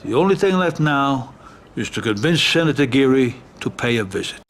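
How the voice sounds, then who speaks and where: A man's voice: mid-range voice, animated, normal speed, slight background noise, natural voice. Man acting composed American mob lawyer. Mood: calculating. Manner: advising, composed. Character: calm, calculating middle-aged male mafia lawyer. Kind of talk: video game cutscene acting.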